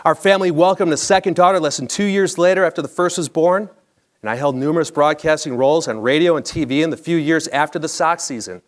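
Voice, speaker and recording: An American man in his forties reads a scripted personal story on stage in a measured, polished broadcaster-like delivery. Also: fairly high pitch. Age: 40s. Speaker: storyteller, former baseball broadcaster. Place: theatre stage, indoors, at a lectern.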